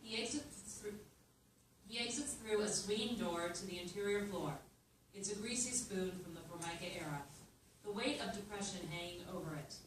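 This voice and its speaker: A woman's voice: mid-range voice, moderate pace. A woman reading scripted screenplay narration aloud at a live staged reading. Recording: echoey room, distant mic, background noise.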